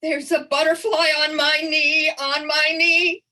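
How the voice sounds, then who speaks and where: A woman presenting a storytelling workshop in an animated, theatrical and encouraging conversational manner. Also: sits high, a little slow. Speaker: storytelling presenter and early literacy educator. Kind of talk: workshop demonstration with storytime performance.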